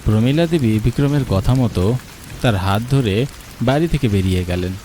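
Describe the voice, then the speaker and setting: A man reading scripted storybook narration for an animated tale. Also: mid-range voice, a little fast, slight background noise.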